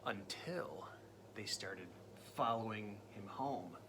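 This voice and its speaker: Animated man reading a spooky storybook. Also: lowish voice, slow pace, background noise. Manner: dramatic, drops to spooky whispers and jumps to loud cries; playful asides to the listening child. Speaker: parent reading a picture book aloud to a child. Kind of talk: storybook read-aloud.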